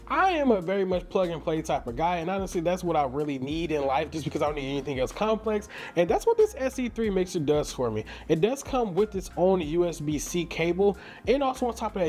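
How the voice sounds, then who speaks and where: A man's voice: fairly high pitch, darkish tone. A man with a St. Louis American accent giving a casual, enthusiastic product review in slangy, conversational language. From St. Louis, Missouri, United States. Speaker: tech reviewer and content creator.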